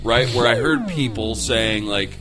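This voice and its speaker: A man's voice: medium pitch, a little slow, heavy background noise. A man in a casual political debate among friends, swearing freely and pressing his points emphatically. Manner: profane, emphatic, argumentative.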